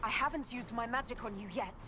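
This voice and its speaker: A woman's voice: fairly high pitch, quick pace, noisy background, natural voice. An actress playing a defiant, self-possessed young female elf mage held prisoner in a video game. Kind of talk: dramatic video game acting.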